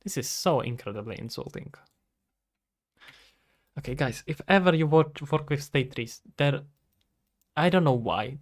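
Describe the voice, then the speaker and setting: A man, chatting casually on a livestream as he works and thinking aloud to himself and his viewers. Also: on the high side. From Czech Republic. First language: Czech. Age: 20s. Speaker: streamer, indie game developer. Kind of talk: casual livestream chat while working. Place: indoors at a computer.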